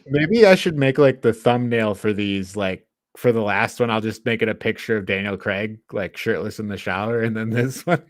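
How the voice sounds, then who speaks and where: A man hosting a casual film review discussion, thinking aloud in an informal, halting way. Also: fairly low pitch, no room sound, clean studio sound. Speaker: podcast host.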